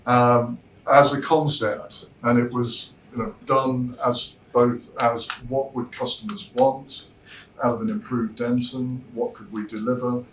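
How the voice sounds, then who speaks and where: A man giving an informal product presentation as a designer, explaining in a measured way and pausing mid-thought. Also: medium pitch, flat delivery. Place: demo room at a hi-fi show, indoors. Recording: roomy, distant mic, noisy show room.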